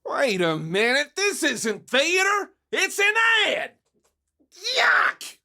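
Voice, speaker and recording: A woman's voice: high voice, big pitch swings, takes it slowly, vocal fry, sharp tone, exaggerated voice. A woman playing an indignant, easily disgusted heckler in exaggerated comedic audio drama style.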